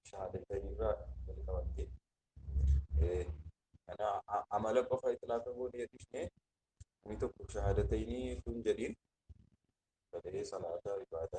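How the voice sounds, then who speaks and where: A man teaching an Islamic creed class. He reads short Arabic phrases from a text, explains them slowly, and checks on his listeners. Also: fairly low pitch, noisy background. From Ethiopia. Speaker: religious teacher.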